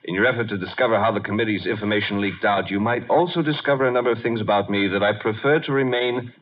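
An actor playing a pretentious, quietly menacing crime boss in a 1950s radio drama, measured and polite with veiled threat. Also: male voice, on the low side, lightly acted. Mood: suavely menacing. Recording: dull vintage radio broadcast recording.

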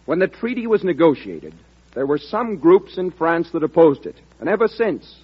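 Casting: formal envoy, fairly high husky voice, sober and respectful, vintage radio. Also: male voice, very animated, moderate pace. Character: respectful, sober government envoy reporting to his president. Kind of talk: old-time radio drama acting. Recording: dull, lo-fi old radio recording.

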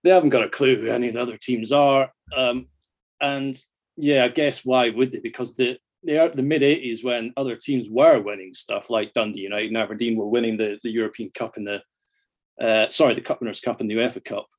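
A man's voice: mid-range voice, steady tone, fairly quick. A middle-aged Scottish man chatting casually about football as a podcast guest, self-deprecating and happy to swear. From Scotland.

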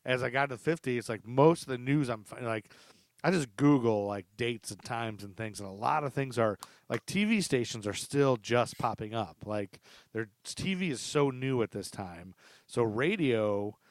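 A man's voice: medium pitch, expressive, moderate pace, no room sound. Casual male podcast host, dry sound. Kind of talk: casual conversational podcast chat.

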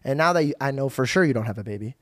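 A man's voice: mid-range voice, lively intonation, racing, fairly bright tone, dry recording, studio quality. A man hosting a casual podcast conversation, joking and teasing his guest and laughing often. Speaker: podcast host.

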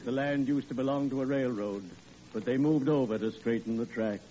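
A man's voice: medium pitch, fairly quick, mellow, natural voice. An actor playing a cheerful, morbid male undertaker with sweet, suave, drawling delivery in 1940s radio acting, on an old lo-fi recording with hiss. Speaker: undertaker character in a radio comedy.

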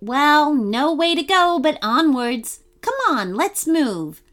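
A woman voicing an excited young girl adventurer who talks in a bubbly, breathless way. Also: high-pitched, slow, full character voice. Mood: determined.

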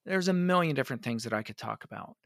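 A man, a pastor, answering interview questions in an earnest, reflective way, his voice worn hoarse by allergies. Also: medium pitch, expressive, quick pace, fairly bright tone, no echo, studio quality. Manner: earnest, reflective, many restarts. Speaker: pastor and advocate.

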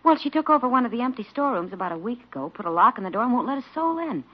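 An actress playing a brisk, curious nurse with a slightly husky voice and a wry manner in a 1940s radio drama. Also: female voice, a little high, big pitch swings. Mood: curious, teasing. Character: brisk, curious, teasing female nurse colleague. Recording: dull old radio recording.

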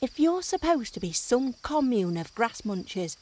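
A woman acts out a sharp-tongued female elf in a fantasy comedy audio drama. The voice is high and very expressive, blunt, impatient and sardonic. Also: normal speed. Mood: suspicious.

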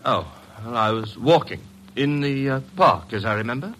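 A male performer gives us a self-important stage actor from old-time radio, with faint noise on the recording. The voice is bright and very animated. He declaims floridly, with affected airs that easily give way to fluster. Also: mid-range voice, normal speed, lightly acted. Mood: flustered, defensive. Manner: affected, florid, hesitant under pressure.

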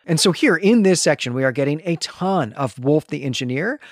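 A man hosting a podcast, explaining a book in a measured, discursive way. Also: a little high, animated, no room sound, studio quality. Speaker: podcast host. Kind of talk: literary discussion.

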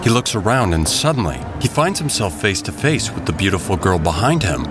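A man's voice: deep voice, big pitch swings, fairly quick, over music. A man narrating an audio drama from a script in a dramatic style.

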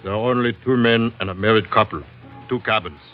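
An actor playing a gruff, businesslike Dutch sea captain in a radio drama, with clipped, foreign-sounding phrasing. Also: male voice, fairly low pitch, brisk, lightly acted. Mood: blunt, businesslike. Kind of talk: 1940s radio drama acting. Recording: muffled vintage radio, faint music.

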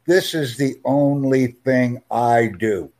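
Slow, emphatic male tutor. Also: medium pitch, darkish tone. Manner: speaks slowly and clearly, friendly, emphatic repetition. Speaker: English tutor. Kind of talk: slow, clear teaching talk.

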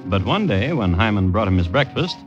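A man narrating a scripted old-time radio story in a wry, declamatory way with deliberate rhetorical phrasing. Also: on the low side, sing-song. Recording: old radio broadcast with music behind, dull. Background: music.

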